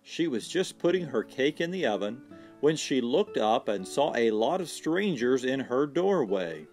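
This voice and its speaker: A man's voice: medium pitch, very animated, unhurried. A man reading a children's storybook aloud as storyteller, expressively, lingering on words for effect. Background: soft guitar music.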